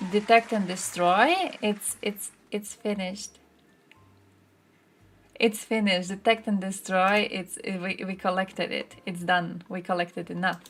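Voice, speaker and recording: A woman hosts a casual livestream, talking in a mid-pitched voice with plenty of vocal fry. Her delivery is informal and sweary, as if chatting directly with viewers. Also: a bit bright, a little hiss or hum. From Croatia. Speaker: livestream host. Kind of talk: casual livestream chat, reacting to chat and posts.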